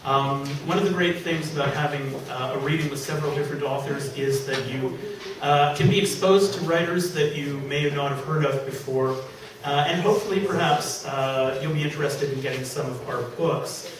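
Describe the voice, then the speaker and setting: A man's voice: on the high side, moderate pace, hardly any pauses. Echoey, distant man reading comic fiction aloud. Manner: animated, exclaiming, digressive asides. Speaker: author reading own fiction. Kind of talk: comic story reading to a live audience. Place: public reading event in a venue. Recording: echoey room from far off, faint noise.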